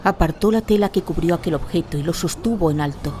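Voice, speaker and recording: A female narrator tells a fantasy radio story in a dramatic scripted style. Clear music plays behind her voice. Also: fairly low pitch, quick pace, warm tone.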